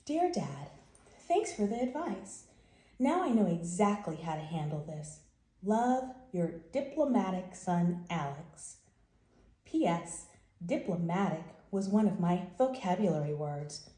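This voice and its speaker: An indignant little boy played by a woman reader airs his grievances in a storybook letter. The delivery is dramatic and mock-formal, swooping high on key words, with a mellow tone in a roomy space. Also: very animated, unhurried. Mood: smugly pleased. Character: indignant young boy writing complaint letters to his dad.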